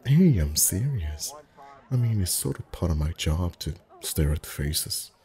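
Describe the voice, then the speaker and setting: A man acting as a friendly festival face painter with a very deep, mellow voice. He is soft-spoken and chatty, gently teasing and flirting as he reassures his customer in an intimate ASMR roleplay. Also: sing-song, measured pace, heavily acted. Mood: gently flirtatious. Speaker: voice actor.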